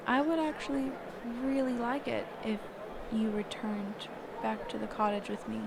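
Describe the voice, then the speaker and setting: Woman acting as a slow, high-voiced, shy mage. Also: background noise. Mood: shy, fond. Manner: hesitant, halting, flustered, trailing off with pauses. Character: reclusive, shy, kind woman mage and magic teacher. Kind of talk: intimate character roleplay audio, one-sided conversation.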